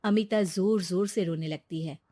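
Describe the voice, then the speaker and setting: A woman's voice: on the low side, moderate pace, mellow, no room sound, studio quality. A woman narrating a scripted moral story as a storyteller.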